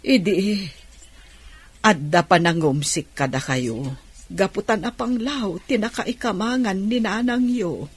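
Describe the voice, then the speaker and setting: An actress playing an ailing older auntie, humbled and grateful, in an Ilocano radio drama, with a husky voice. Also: female voice, medium pitch, slow. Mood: remorseful, humbled.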